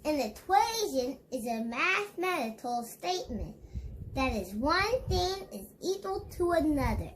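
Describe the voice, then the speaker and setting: A child host reading an educational picture book aloud in a deliberate, instructive way, speaking directly to viewers. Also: high-pitched, a bit bright, a little hiss or hum.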